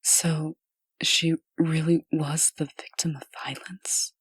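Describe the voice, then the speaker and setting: A woman's voice: sits low, level tone, takes it slowly, husky, no room sound, studio quality, exaggerated voice. A woman playing a serious, determined official in a comic dub, speaking in a precise, earnest and insistent way. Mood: troubled. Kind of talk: comic dub character acting.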